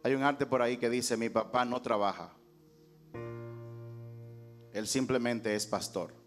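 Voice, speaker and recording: A man's voice: medium pitch, fairly even intonation, unhurried, faint music behind. A male pastor preaching an emphatic sermon in a rhetorical way, acting out dialogue from scripture.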